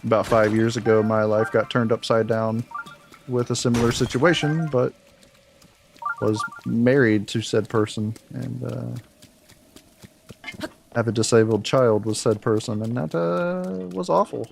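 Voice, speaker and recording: A man with a deep voice streaming a game, chatting candidly and easily with viewers while he plays, close to his mic. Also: measured pace, vocal fry, a little hiss or hum. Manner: candid, laid-back, talks to chat between game moments. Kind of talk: casual live-stream chat while playing. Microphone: close desk mic. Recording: game music audible under the voice. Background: game music.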